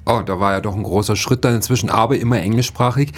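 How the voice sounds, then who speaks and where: A male interviewer with a fairly low voice asks polite follow-up questions. He often hedges with "äh" and "ähm" as he works toward each question. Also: fast. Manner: polite, probing questions with fillers.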